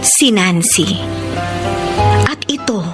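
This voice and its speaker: An actress with a Filipino accent playing an earnest younger sister-in-law in a heartfelt radio drama. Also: female voice, mid-range voice, expressive, extremely slow, over loud music. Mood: reflective. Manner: melodramatic, heartfelt.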